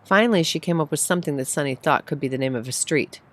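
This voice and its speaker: A woman's voice: sits low, fast talker, no room sound. A woman reading a short story aloud as a scripted narrator. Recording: faint background noise.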